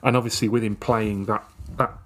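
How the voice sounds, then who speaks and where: Low-voiced man, halting and hesitant. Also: fairly even intonation, background noise. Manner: hesitant, many fillers and repeated words. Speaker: football podcast co-host. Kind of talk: casual match review chat.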